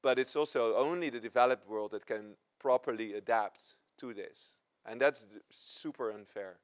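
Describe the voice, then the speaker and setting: A man, answering on a conference panel with lively gestures and frequent restarts. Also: mid-range voice, moderate pace. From Netherlands. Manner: animated, gesturing, many restarts. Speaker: panel scientist. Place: conference stage, seated in armchair. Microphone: lapel.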